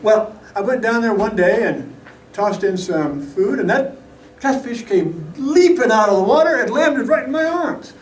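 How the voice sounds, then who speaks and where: A man's voice: high-pitched, unhurried. A man telling a folksy, humorous tall tale to a live audience, theatrically acting out the story as he goes. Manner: folksy, animated, acts out dialogue. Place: indoor meeting room at a live event. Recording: echoey room, distant mic, background noise.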